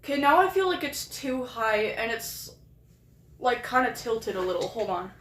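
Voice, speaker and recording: A chatty young woman with a Canadian accent and a bright, high voice, rambling to her chat as she fiddles with her setup. Her voice bounces around an echoey room, with a faint hiss of noise behind it. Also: big pitch swings, moderate pace. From England. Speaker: streamer. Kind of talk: casual live-stream chat. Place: small rented room at a desk.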